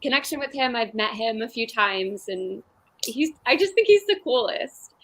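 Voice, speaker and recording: Enthusiastic female from the United States, higher register, casual chat. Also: animated, moderate pace, a bit bright.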